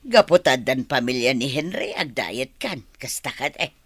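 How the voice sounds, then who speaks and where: A woman's voice: very deep voice, expressive, moderate pace, fairly bright tone, slightly rough, no echo, heavily acted. An actress playing a worried, scolding middle-aged Filipino mother in a radio drama.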